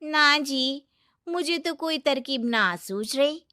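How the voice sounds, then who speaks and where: A woman doing a high, fawning moneylender's wife. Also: expressive, slow pace, bright, forceful tone, no room sound, clean studio sound, exaggerated voice. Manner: fawning, chiming in. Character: moneylender's scheming wife, middle-aged, eager to agree. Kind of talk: cartoon storybook voice acting.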